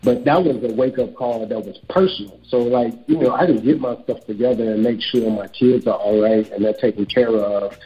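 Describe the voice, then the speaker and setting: A man's voice: mid-range voice, normal speed, few pauses, warm tone. A man in his thirties from Chicago, an author and real estate broker, speaking candidly and reflectively in a casual interview. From Chicago, USA. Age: 30s. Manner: candid, reflective, speeds up when quoting himself. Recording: dull lo-fi audio with faint music.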